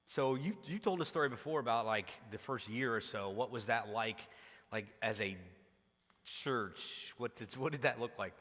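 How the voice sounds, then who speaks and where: A man's voice carries through a large, reverberant hall. He has a middle pitch and talks like a pastor hosting a conversation, informal and meandering as he sets up questions for his guests. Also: normal speed, a bit bright. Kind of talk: conversational on-stage interview.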